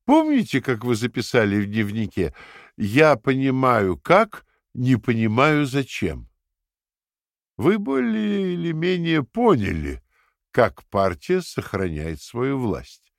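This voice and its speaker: An actor playing a calm, condescending party interrogator who lectures in a measured, fanatical way in an audio drama. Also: male voice, medium pitch, gravelly, no echo, studio quality, exaggerated voice. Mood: condescending, lecturing. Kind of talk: dramatic audio play acting.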